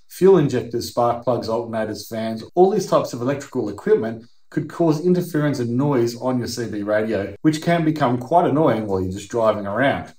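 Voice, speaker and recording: A man's voice: medium pitch, darkish tone. A middle-aged man with an Australian accent hosting an explanatory video in a conversational, emphatic teaching manner. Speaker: host. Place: workshop or garage, indoors. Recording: roomy, echoing indoor sound.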